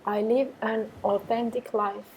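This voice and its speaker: A woman meditation teacher guiding affirmations in a calm, measured way with pauses between phrases. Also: on the high side, a little hiss or hum, rough recording. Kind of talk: guided meditation with repeated affirmations.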